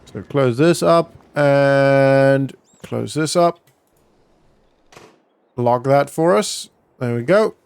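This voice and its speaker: A man's voice: medium pitch, very slow, creaky, long pauses, a little mellow, clear, resonant tone, dry recording. A man giving casual, conversational roleplay gameplay commentary, explaining things as a gaming vlogger.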